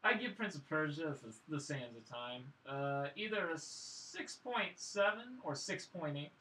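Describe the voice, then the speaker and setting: A man with a fairly high, bright voice hosts a casual movie discussion. His pitch moves around in a very animated way, and the room rings with echo. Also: unhurried, slight background noise. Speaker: podcast host moderating film reviews. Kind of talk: casual panel chat. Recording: echoey room.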